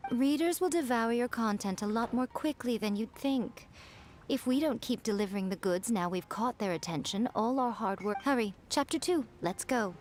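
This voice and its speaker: High-voiced, sly fox-spirit lady acted by a woman. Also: animated, normal speed, darkish tone, close to an everyday voice. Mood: pleased, brisk. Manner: poised, teasing, self-assured. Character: sly, confident adult female fox-spirit publishing boss. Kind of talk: video game character dialogue. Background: faint background music.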